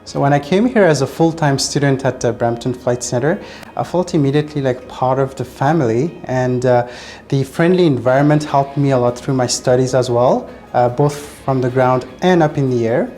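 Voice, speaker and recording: A man's voice: mid-range voice, normal speed, warm tone, soft background music. Earnest man, flight instructor. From Madagascar. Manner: earnest, with hesitant fillers. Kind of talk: testimonial interview.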